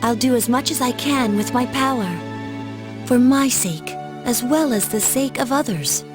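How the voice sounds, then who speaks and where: An actress playing a gentle, shy teenage girl who speaks sincerely about her new resolve, in a game cutscene. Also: a little high, a little slow, music in the background, lightly acted.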